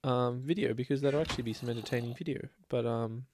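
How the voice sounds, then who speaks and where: A man's voice: medium pitch, fast talker, a little mellow, slight background noise. Chatty man, slightly stuffy. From Australia. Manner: chatty, rambling, talks to himself and the game. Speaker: let's play host. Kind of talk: casual gameplay commentary.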